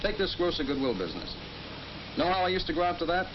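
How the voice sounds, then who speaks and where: Upbeat American route salesman, folksy explainer, lively swinging pitch, noisy vintage film soundtrack. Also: quick pace, dull audio. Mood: confident, explaining. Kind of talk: 1950s training film acting, explaining to listener.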